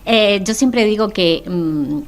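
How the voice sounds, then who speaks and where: A woman's voice: mid-range voice, slow. A woman from Argentina, hosting a radio interview in a chatty, affirming way. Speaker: radio host.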